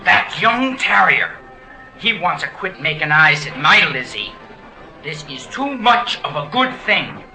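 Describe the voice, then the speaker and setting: A man's voice: on the high side, big pitch swings, unhurried, gravelly, pushed and bright, soft background music, full character voice, rough recording. A man voicing a fierce, jealous little whiskered man who grumbles indignantly.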